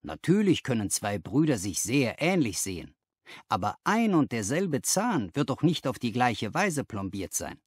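A man's voice: medium pitch, sing-song, a little slow, husky, no room sound. A man reading a story aloud as an audiobook narrator, in scripted first-person narration.

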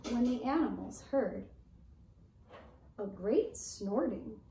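A woman telling a children's puppet story in a deliberate, expressive way, recorded from a few feet away indoors. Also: a little high, creaky. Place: indoor room set up as puppet stage. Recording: roomy echo, faint background noise.

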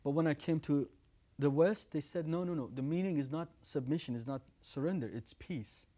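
A man's voice: fairly high pitch, moderate pace, dark tone. Iranian-accented man in his thirties, earnest studio guest, lapel mic. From Iran. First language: Farsi. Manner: earnest, gestures with hands while talking. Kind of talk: testimony in a studio interview. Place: TV studio set with living room decor.

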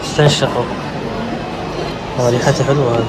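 A man's voice: mid-range voice, flat delivery, fast talker. A young man with a Gulf Arabic accent, chatting casually to viewers as he films a mall tour on his phone, often restarting words. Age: young adult. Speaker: travel vlogger. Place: large indoor shopping mall. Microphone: handheld phone camera. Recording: loud crowd and mall noise. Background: shoppers and mall ambience.

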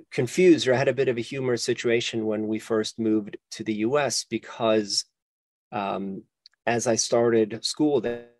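A man's voice: fairly low pitch, creaky, a little mellow, no room sound. A man in his forties from Sweden, a guest historian talking reflectively in an interview, with many restarts and fillers. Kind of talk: casual interview conversation.

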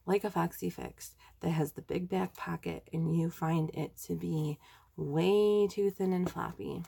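Young woman, nasal and congested, warm husky tone, chatty tutorial host with lots of ums. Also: lowish voice, fairly even intonation, unhurried. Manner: chatty, enthusiastic, many ums. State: nasal from a sinus infection. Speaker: planner video creator. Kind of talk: casual tutorial vlog. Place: indoors at a desk.

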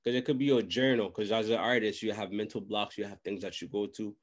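A man's voice: on the low side, steady tone, quick pace. A young man hosting a casual interview, talking informally with frequent conversational tag phrases. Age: young adult. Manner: informal, repeats tag phrases like "you know what I mean". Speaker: podcast host and interviewer. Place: small room at home, video call. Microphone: large desk microphone close to mouth.

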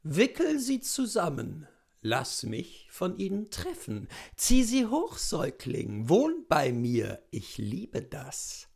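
A woman's voice: deep voice, wildly swooping pitch, slow, creaky, darkish tone, husky. A woman gives a mock-serious, declamatory dramatic recitation of lyrics as poetry, drawing out her words. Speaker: reader of literary texts. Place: indoors, room with bookshelves.

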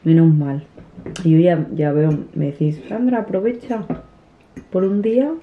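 A woman's voice: low voice, unhurried. A woman in her thirties, a family vlogger, chatting casually to the camera while eating at a table at home. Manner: chatty, restarts often, soft. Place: small room at a dinner table, indoors. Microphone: camera on the table at close range. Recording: tinny, phone-like sound.

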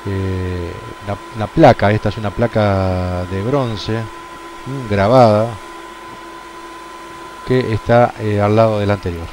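Slow, hesitant man guiding a tour. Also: fairly low pitch, background noise. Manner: hesitant, many "eh" fillers, slow and deliberate. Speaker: tour guide. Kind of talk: unscripted walking tour commentary. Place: outdoor church ruins.

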